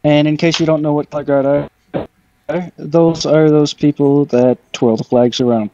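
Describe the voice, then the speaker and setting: A man's voice: a little high, fairly even intonation, a little slow, dark tone, slight background noise. A young American man casually telling personal stories over gaming voice chat. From United States, Michigan. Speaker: guest storyteller on gaming video. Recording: dull lo-fi voice chat.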